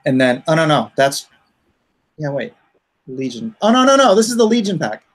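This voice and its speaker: A man's voice: a little high, big pitch swings, normal speed. A man hosting a casual livestream as a comic creator, rambling and thinking aloud as he talks through his campaign.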